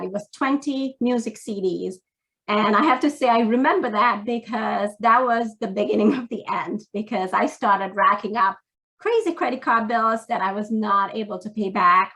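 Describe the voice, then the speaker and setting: A woman's voice: mid-range voice, fairly bright tone, low-quality recording. Candid woman from Sri Lanka, fillers. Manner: earnest, candid, with frequent fillers. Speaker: panelist. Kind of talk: workshop talk to students.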